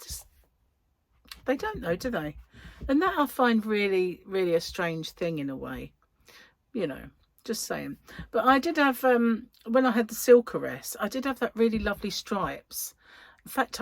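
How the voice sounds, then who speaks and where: A woman's voice: on the low side, no echo. A woman hosting a podcast, chatting casually and rambling as she thinks aloud. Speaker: podcast host. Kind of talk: casual chat.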